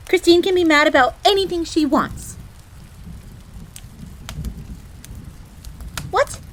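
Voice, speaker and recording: A high-voiced fox maid in an audio roleplay. She is a proud, jealous tsundere servant, quick to get indignant and flustered, yet lowly in her own eyes. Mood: defensive, huffy. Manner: indignant, stammering when flustered, refers to herself in third person.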